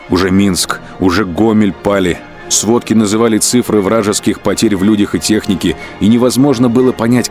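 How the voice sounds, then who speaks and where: A man narrating a radio drama in a measured, grave storytelling style. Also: low voice. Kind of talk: steady literary narration for a radio play.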